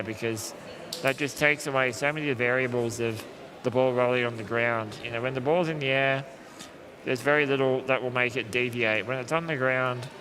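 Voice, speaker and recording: Man A man calls a live match, calmly explaining tactics and often restarting his sentences as he thinks aloud. His voice sits at an ordinary middle pitch. Steady noise runs under the stream. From Australia. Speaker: sports commentator. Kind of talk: live sports commentary.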